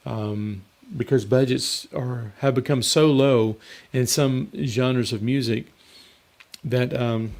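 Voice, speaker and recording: A man hosting a casual live Q&A as a mixing coach, slightly hoarse and rambling in a conversational way. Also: mid-range voice, darkish tone. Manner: rambling and conversational, with frequent fillers and restarts. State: slightly hoarse, losing his voice. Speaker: mixing coach and show host.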